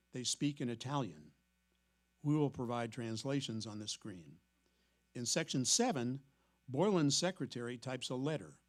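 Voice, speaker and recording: A man with a middle-pitched voice presents a literary evening. He explains in a measured way, as if from prepared notes. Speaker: host introducing a staged reading. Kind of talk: prepared introductory talk to an audience.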